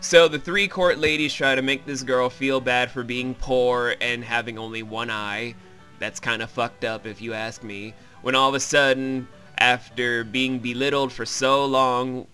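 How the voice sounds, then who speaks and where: A man's voice: medium pitch, pushed and bright. A man hosting a let's play, giving a casual, wry, rambling and sweary recap of a visual novel.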